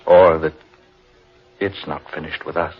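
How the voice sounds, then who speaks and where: An actor playing an anxious, haunted gentleman explorer in an old-time radio horror drama, with melodramatic exclamations. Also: rumbling low, flat delivery, a little slow, breathy edge, full character voice. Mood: uneasy. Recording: muffled old radio recording with music.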